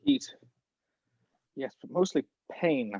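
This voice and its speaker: A man's voice: on the high side, wildly swooping pitch, slow, darkish tone, husky, dry recording, dull audio, heavily acted. A man playing a plain-spoken spy in an amateur table read, in an everyday voice. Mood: plain, wry.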